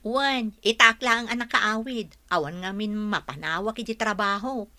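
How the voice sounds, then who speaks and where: A woman's voice: medium pitch, moderate pace, pushed and bright. A woman with an Ilocano accent playing a practical, frank village friend who asks pointed questions in a radio drama.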